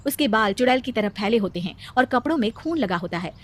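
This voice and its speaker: A woman's voice: a little high, expressive, fast talker. A woman narrating a scripted story as the storyteller.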